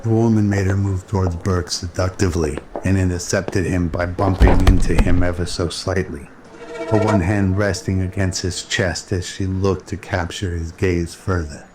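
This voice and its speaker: A man's voice: low-pitched, steady tone, moderate pace, background noise. A man reading scripted narration for a sci-fi radio drama. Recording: loud music behind the voice.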